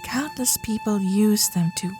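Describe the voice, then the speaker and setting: A woman playing a shy, starry-eyed young Gilded Age heiress in an intimate romantic ASMR roleplay, breathy and often whispering, with genteel, hesitant manners. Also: mid-range voice, music in the background, lightly acted. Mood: tender, pleased. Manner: genteel, old-fashioned politeness, hesitant pauses.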